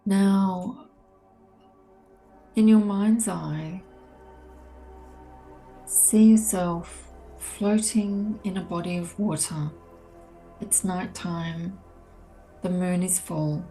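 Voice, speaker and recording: Slow, low-voiced woman guiding a meditation. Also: gravelly. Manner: gentle, measured, with long pauses. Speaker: meditation guide. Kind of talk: guided meditation, soothing spoken instruction. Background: soft ambient meditation music.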